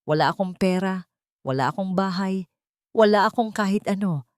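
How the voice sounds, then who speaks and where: A woman's voice: mid-range voice, moderate pace, no room sound, lightly acted. An anguished mother, as a woman narrator plays her in an audio drama. She is desperate and bewildered, pleading with raw emotion in a dry, close voice. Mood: desperate, tearful.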